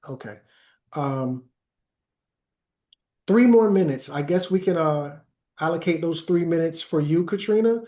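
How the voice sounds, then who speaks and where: A man hosting a workshop over a video call, talking slowly and hesitantly with many fillers. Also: a little high, animated, mellow. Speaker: workshop host. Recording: roomy echoey video call audio.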